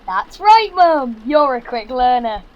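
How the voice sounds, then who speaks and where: A man's voice: extremely high pitch, very animated, takes it slowly, dull audio, heavily acted. A young man playing a sarcastic, exasperated fifteen-year-old son in an audio drama, with a Yorkshire accent and blunt delivery. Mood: lecturing. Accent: Yorkshire English. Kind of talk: naturalistic audio drama acting.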